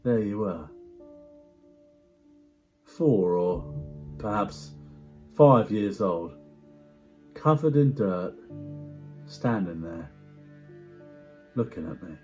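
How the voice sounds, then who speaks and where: A man giving a dramatic first-person story reading in a hushed, measured way with deliberate pauses. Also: on the low side, lively intonation, soft background music. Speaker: amateur actor performing a story reading.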